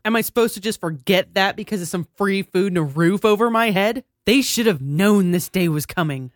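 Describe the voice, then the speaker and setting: A man voicing a tall, bitter young woman in a soft, tense, resentful voice. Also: high voice, normal speed, dry recording, studio quality, voice pushed up, close to an everyday voice.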